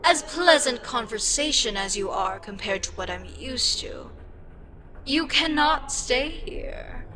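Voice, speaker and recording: A woman voicing an ancient, weary eldritch deity in an intimate ASMR roleplay, speaking deliberately with pauses and breathy, whispery touches. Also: fairly high pitch, expressive, bright, forceful tone, exaggerated voice. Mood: gently regretful. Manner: slow, deliberate, pausing, drifting into breathy whispers. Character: ancient eldritch deity, female, weary, frustrated, wistfully amused by mortals. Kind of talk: ASMR audio roleplay, intimate scripted monologue.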